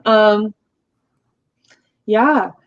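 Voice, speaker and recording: A woman's voice: mid-range voice, very slow, no echo, muffled recording. A woman in her twenties, a native Russian speaker raised in the United States, reflecting as an interview guest in casual talk with many "like" and "um" fillers.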